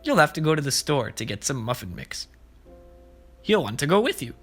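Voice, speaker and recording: Expressive higher-pitched man reading to kids. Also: moderate pace, husky. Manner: expressive, speaking simply to young children. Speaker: preschool story-time host. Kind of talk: children's storybook read-aloud and simple explanations. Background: background music.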